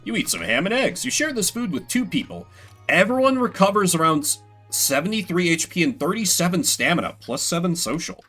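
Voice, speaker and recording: A man's voice: sits high, big pitch swings, fairly quick, pushed and bright, soft background music. A man playing a video game as a streamer, mixing casual commentary with theatrical readings of the on-screen text.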